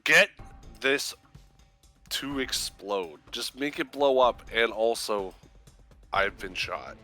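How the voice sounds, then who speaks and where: A man's voice: medium pitch, slow pace, pushed and bright. A man chatting casually as a player during a tabletop roleplaying game. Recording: clear music behind the voice. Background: background music.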